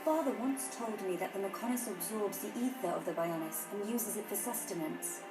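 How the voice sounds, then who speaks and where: A woman playing a gentle, thoughtful young heroine in a fantasy video game. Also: medium pitch, fairly quick, lightly acted. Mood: pensive, awed. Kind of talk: video game cutscene acting. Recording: echoey game audio over music.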